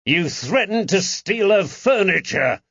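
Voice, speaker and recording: A man voicing a gruff, furious police constable who accuses and interrogates in an audiobook. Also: sits high, expressive, unhurried, a bit bright, no room sound, dull audio, voice pushed up, heavily acted. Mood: furious, accusing. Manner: stern, accusing, barking. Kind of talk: audiobook character acting.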